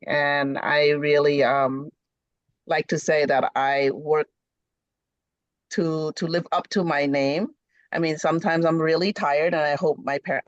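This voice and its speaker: A man's voice: a little high, no echo. A man from Cambodia introducing himself as a panelist, speaking in a wry, slightly hesitant way. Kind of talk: conversational introduction. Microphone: video call. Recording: muffled video-call audio.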